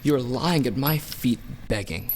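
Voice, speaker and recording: A man's voice: mid-range voice, expressive, a little slow, a little hiss or hum, natural voice. A man playing a vengeful king of the gods in a radio play, taunting and theatrical. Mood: gloating, taunting. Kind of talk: audio drama acting.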